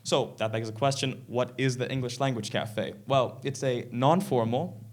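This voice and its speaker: A man's voice: medium pitch, fairly even intonation, moderate pace, a little mellow. Earnest teenage boy giving a stage talk. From Canada. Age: teens. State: slightly sick, clearing throat. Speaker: presenter. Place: event stage.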